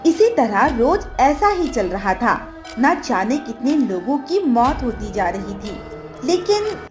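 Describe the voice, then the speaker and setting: A woman storyteller reads a dramatic scripted tale. Her voice is high and very animated, at a slow pace. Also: faint music behind.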